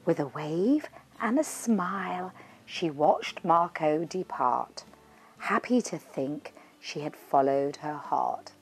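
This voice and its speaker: Female storyteller, middle-aged, lowish voice, very expressive, reading children's verse deliberately. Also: breathy edge. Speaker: children's author reading own book. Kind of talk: expressive read-aloud storytelling in rhyming verse.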